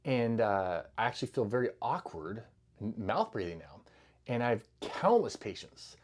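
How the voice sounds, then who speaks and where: A man's voice: fairly low pitch, big pitch swings, normal speed, darkish tone. A middle-aged man giving a loose, conversational health talk to camera, full of fillers and restarts, with the easy manner of a clinician speaking to patients. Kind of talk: casual educational talk to camera.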